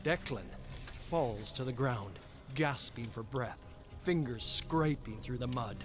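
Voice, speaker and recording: Slow, grim male horror narrator. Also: mid-range voice, over music. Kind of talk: scripted horror game narration.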